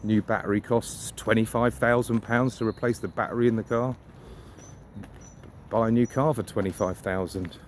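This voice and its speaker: A man's voice: lowish voice, fairly even intonation, normal speed. A man talking casually and musingly as he walks outdoors, opinionated and mildly sweary. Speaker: vlogger. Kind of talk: casual rambling walk-and-talk monologue. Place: outdoors, walking suburban riverside streets. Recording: outdoor background noise. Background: outdoor ambience.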